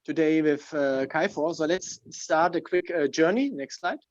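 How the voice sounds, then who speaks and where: A man with a German accent and a slightly high voice presents himself with slides. He explains things informally, phrase by phrase. The sound is close and dry but a bit dull, like a video call. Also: unhurried, no room sound. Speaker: club member presenting himself.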